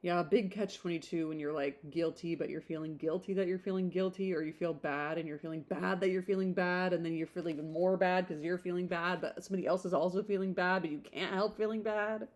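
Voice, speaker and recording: A woman's voice: lowish voice, fairly even intonation, fairly quick, few pauses, darkish tone. A woman podcast host reading a classic novel aloud in an informal way, with chatty, conversational asides. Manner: conversational, rambling off on tangents in asides.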